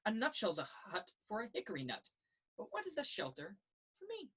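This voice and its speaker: A woman reads a children's picture book aloud. Her pitch moves in a lively, expressive way through a sing-song rhythm. The voice has a husky, slightly rough edge. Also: a little fast. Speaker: story reader. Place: indoors in a children's library.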